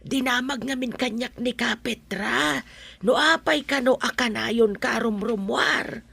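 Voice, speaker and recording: A woman playing a fretful older mother in a radio drama, with a sharp, gravelly voice and a plaintive, questioning manner. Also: a little high, a little slow, exaggerated voice. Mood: nagging, worried. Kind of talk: Ilocano radio drama acting.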